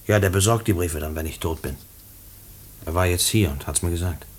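An actor playing a condemned prisoner in a German radio play, earnest and stubborn, speaking haltingly and pleading, often repeating his words. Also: low voice, slightly rough. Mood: subdued, earnest.

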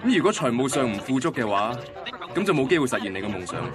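A man's voice: mid-range voice, very animated, fast, over music, lightly acted. An actor playing a heartbroken young man recounting his first love in a radio drama, wistful and self-deprecating, with a Hong Kong Cantonese accent. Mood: weary, sardonic. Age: young adult. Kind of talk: radio drama narration and dialogue.

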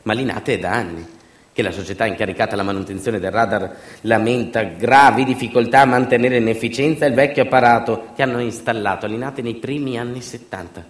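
A man's voice: medium pitch, fairly quick. Male stage narrator, mid-range voice. Sardonic documentary monologue with dry asides and dramatic emphasis, in a roomy echo. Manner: sardonic, ironic understatement with rhetorical asides; dramatic swings between emphatic delivery and quiet asides. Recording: echoey, roomy sound.

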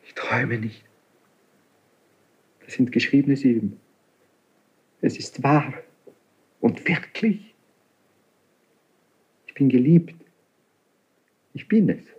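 An actor playing a highly strung young crown prince in a classical radio play, declaiming intensely with a husky voice. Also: male voice, mellow, muffled recording, heavily acted. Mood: rapturous. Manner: impulsive, intense, theatrically declaiming. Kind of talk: classical German radio play stage acting.

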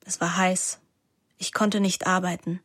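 A woman's voice: fairly low pitch, level tone, a little slow, fairly bright tone, dry recording, studio quality. A young woman playing a scheming, anguished teenage girl who narrates her own story in a radio drama, intimate and introspective. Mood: brooding, introspective.

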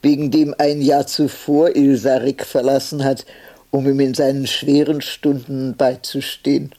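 An actor in a radio drama playing an impatient elderly man who cares for a bedridden partner, weary, brisk and chiding. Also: medium pitch, flat delivery, warm tone. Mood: reminiscing. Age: older.

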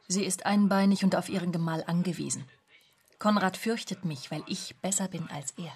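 Young female journalist, radio drama, lowish voice, sharp, skeptical, self-assured. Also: moderate pace, slightly rough. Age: young adult. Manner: cool, self-assured, challenging. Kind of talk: German radio drama acting.

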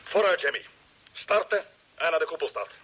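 A man acting a brisk, commanding space fleet leader in a vintage Dutch radio play, on a slightly noisy old recording. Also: a little high, lively intonation, sharp tone. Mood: urgent, commanding.

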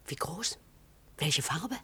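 A timid, sheltered radio-drama woman who clings to safety. Her voice is very breathy and often whispered, with wide swings up into urgent, pleading insistence. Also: deep voice, animated, takes it slowly, sharp tone, heavily acted. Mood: hushed, timid. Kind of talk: German radio play acting.

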